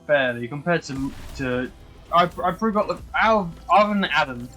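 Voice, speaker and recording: A young streamer whose voice sits on the high side. He is excitable and sweary, and keeps tripping into restarts. Game music hums softly under his talk. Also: fairly quick. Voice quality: fairly high-pitched voice. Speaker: gaming streamer. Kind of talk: casual chat while gaming.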